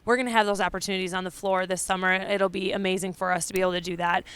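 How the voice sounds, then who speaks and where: A female coach gives a conversational interview answer. Her voice is clear and smooth at a medium pitch, and she talks quickly. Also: little pitch movement, a bit bright. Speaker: basketball coach being interviewed.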